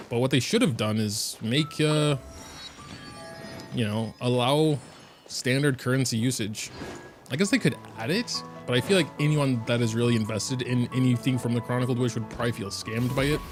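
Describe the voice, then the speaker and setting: A man from Germany with a German accent, a native German speaker, rambling and thinking aloud in casual live-stream commentary while he plays. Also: medium pitch. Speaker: game streamer.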